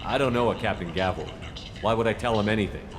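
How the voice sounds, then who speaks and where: A man's voice: fairly low pitch, quick pace, very noisy, natural voice. A man playing a terse, level-headed human soldier and protagonist in a video game. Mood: cool, curious. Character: terse human male soldier, cool and probing. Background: music.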